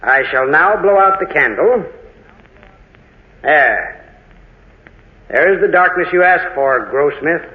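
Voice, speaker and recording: An actor playing a sly, courtly gentleman who formally arranges an eerie duel in a 1940s radio drama. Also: a little high, animated. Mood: commanding, ceremonious. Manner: courtly, deliberate, theatrical. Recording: tinny, narrow-band old radio recording.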